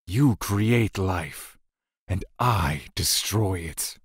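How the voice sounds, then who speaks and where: An actor playing a stern, dutiful god of death in a fantasy audio drama, speaking in a stiff, curt, commanding manner. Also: male voice, sits low, expressive, very slow, slightly rough, no echo, clean studio sound, heavily acted. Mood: stern, grave.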